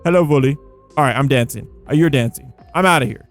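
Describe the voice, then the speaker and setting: A man's voice: medium pitch, fast talker. A man hosting a gaming video with casual, rambling commentary, playful and full of informal slang. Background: background music.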